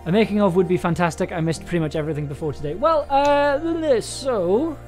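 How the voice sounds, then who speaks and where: A man's voice: high-pitched, animated, fairly quick, a little mellow. Young man rambling over background music. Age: young adult. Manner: hesitant, rambling, thinking aloud. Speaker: audio drama producer and streamer. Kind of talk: casual livestream chat. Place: small indoor room at home. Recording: music playing underneath.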